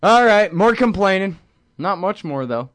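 A man's voice: high voice, expressive, unhurried, a bit bright, no echo. A man hosting a political talk show, ranting sarcastically with lots of energy. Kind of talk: political radio commentary.

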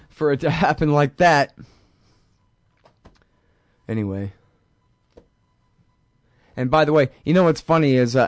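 A man's voice: medium pitch, clear, resonant tone, no echo, clean studio sound. A man hosting a political talk show, ranting sarcastically with lots of energy. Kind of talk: political radio commentary.

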